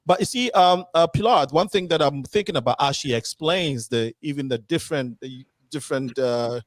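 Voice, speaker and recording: A man's voice: a little high, normal speed, dry recording. A man hosting a panel talk show, asking long, rambling questions full of fillers. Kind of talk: panel discussion moderating.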